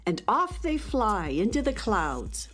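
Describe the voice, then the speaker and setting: A woman's voice: mid-range voice, very animated, slow, a bit bright, faint music behind. A woman narrating a children's space adventure in a dramatic storytelling manner.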